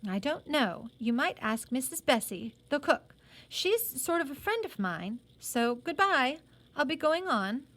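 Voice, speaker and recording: Woman as a dreamy, high-voiced teenage girl. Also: sing-song, fairly bright tone, full character voice. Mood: reserved. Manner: musing, recounting plainly with pauses. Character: dreamy, matter-of-fact fifteen-year-old working girl.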